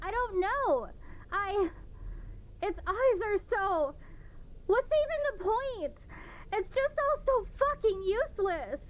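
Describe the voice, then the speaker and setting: A woman playing a terrified, despairing woman trapped in her apartment in an audio drama, pleading and halting. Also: very high-pitched, sing-song, dull audio, exaggerated voice. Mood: hopeless.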